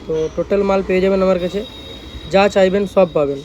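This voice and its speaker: A man's voice: sits high, fast, darkish tone, muffled recording, a little hiss or hum. A man with a West Bengal Bengali accent giving a casual product showcase as a shop owner, in emphatic, repetitive sales talk. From West Bengal, India. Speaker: speaker shop owner presenting his work.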